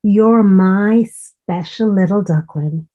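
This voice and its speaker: A woman voicing a proud, motherly mother duck in a children's storybook, with slow, deliberate delivery. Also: medium pitch, highs cut off. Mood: reassuring, instructing.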